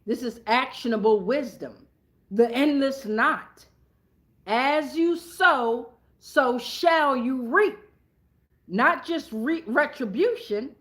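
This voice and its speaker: A woman's voice: high voice, lively intonation, slow, a bit bright. A woman hosting an improvised tarot reading, talking casually in a blunt, slangy, profane way and repeating phrases for emphasis.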